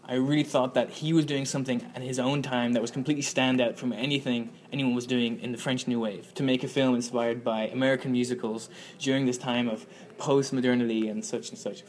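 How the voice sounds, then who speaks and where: A man's voice: mid-range voice, little pitch movement, a little fast, few pauses, a little mellow. Rambling young man filmmaker. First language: Irish. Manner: rambling, many fillers and restarts. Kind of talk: interview answer.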